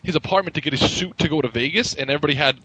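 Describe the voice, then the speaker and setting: A man co-hosting a podcast in casual banter, blunt and animated, laughing easily. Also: a little high, rapid-fire, low-quality recording. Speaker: podcast co-host.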